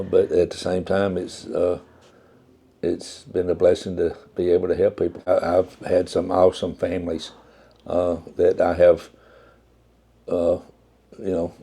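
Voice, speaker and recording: An older man who works as an undertaker, from Appalachia, speaking in a soft, halting way with many restarts in a reflective interview. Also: low-pitched, gravelly.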